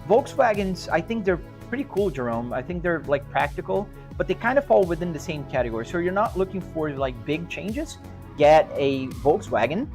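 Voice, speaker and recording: A man's voice: fairly high pitch, big pitch swings, normal speed, darkish tone. A man from Brazil with a Brazilian accent, chatting casually on a live stream and answering viewers in an easygoing, rambling way. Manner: easygoing, rambling, restarts and hedges. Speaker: sim racing live streamer. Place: home sim racing rig. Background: music playing.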